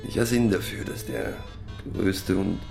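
An actor playing a bitter pub regular in a radio drama, ranting in halting, repetitive colloquial speech. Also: male voice, deep voice, sing-song, creaky, a little mellow, faint music behind, heavily acted. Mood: disgusted ranting. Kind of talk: naturalistic radio drama monologue.

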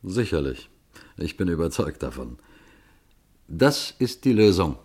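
A calm older police detective telling a puzzle case in a radio play. His voice sits very low, and he speaks to the listeners in a measured, unhurried way. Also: husky, heavily acted. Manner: measured, addresses listeners directly. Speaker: detective narrator.

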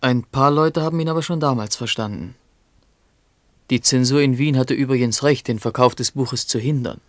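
A man's voice: mid-range voice, normal speed, no room sound, clean studio sound, lightly acted. An actor playing an opinionated male commentator in a radio play. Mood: matter-of-fact.

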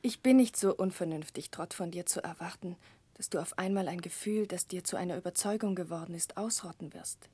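An actress playing a gentle, earnest, caring young woman with a soft voice in a 1960s radio drama. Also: on the low side, brisk, no room sound. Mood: gentle, concerned. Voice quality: soft, breathy.

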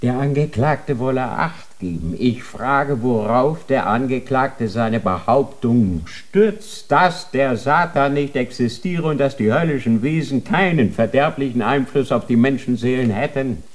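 A man's voice: mid-range voice, lively intonation, a little slow, hardly any pauses, natural voice. A man playing a stern, formal inquisitor. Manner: formal, cold, interrogating. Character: stern male inquisitor of a holy tribunal. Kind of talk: radio drama acting.

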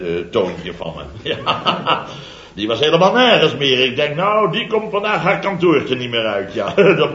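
An actor playing a boastful middle-aged contractor, telling a comic story in a theatrical, chatty way for a 1970s radio comedy serial. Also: male voice, fairly low pitch, fairly bright tone, roomy sound, lo-fi sound. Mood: amused.

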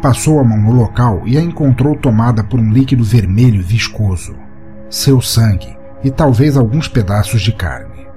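A Brazilian man with a soft, mellow voice hosts and narrates a storytelling podcast. He reads prepared material at a mid-range pitch, with music playing behind him. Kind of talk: scripted story narration and reading listener comments. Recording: music bed under the voice. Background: background music.

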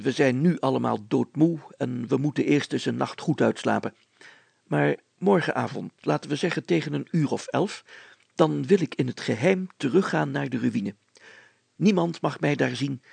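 Guy doing an eager secretive village mayor for an audiobook, very croaky, kinda high for a man, husky. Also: darkish tone, dry recording, exaggerated voice. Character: excitable, secretive village mayor, keen storyteller. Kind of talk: acted audiobook dialogue.

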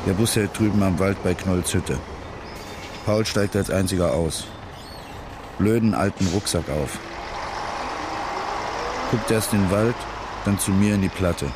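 A low-pitched weary working-class narrator in a radio play. He talks in clipped, slangy fragments with a bitter edge. There is clear noise behind the voice. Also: unhurried, long pauses. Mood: weary, sardonic. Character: weary, bitter working-class man narrating his dead-end life. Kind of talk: radio drama interior monologue.

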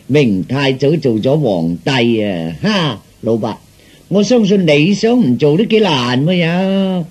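Man acting glib, husky fortune teller, lively swoops. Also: fairly high pitch, slow pace, full character voice. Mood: effusive flattery. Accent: Cantonese. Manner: exaggerated, theatrical salesman patter. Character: glib flattering fortune teller, wheedling old clients. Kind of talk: Cantonese storytelling performance.

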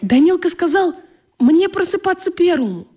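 A woman's voice: very high voice, very animated, normal speed, a crowd in the background. A woman playing an earnest, thoughtful young schoolboy in a children's radio play.

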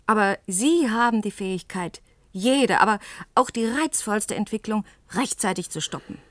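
A woman's voice: medium pitch, lively intonation, moderate pace, a bit bright, dry recording, lightly acted. An actress playing a passionate, outspoken woman journalist in a German science-fiction radio play, speaking earnestly and insistently. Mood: urgent, accusing.

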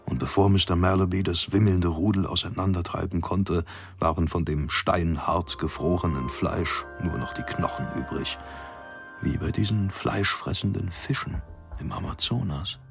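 An actor playing a vain, unflappable polar expedition captain who reads his journal in a measured, formal way. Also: sits low, creaky, music in the background. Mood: composed, stately. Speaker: actor in a radio play. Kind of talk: dramatised journal narration.

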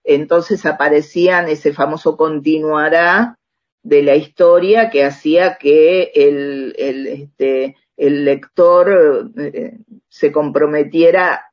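A woman with an Argentine accent in a conversational radio interview, speaking reflectively and haltingly, often checking with a tag question. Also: deep voice, fairly even intonation, highs cut off. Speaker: author interviewed about radio drama.

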